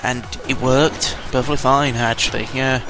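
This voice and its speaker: A man's voice: medium pitch, fairly even intonation, normal speed, low-quality recording. A British man giving casual, rambling game commentary, digressive and sweary, often correcting himself as he goes. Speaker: gaming vlogger. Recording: music under the voice. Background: music.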